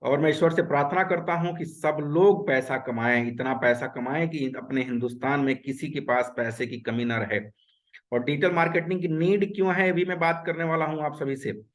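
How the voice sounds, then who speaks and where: A man's voice: on the high side, a bit bright. Earnest man teaching a webinar. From Uttar Pradesh, India. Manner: earnest and repetitive, mixes English words. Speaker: webinar instructor. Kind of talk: online webinar lecture. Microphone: video call.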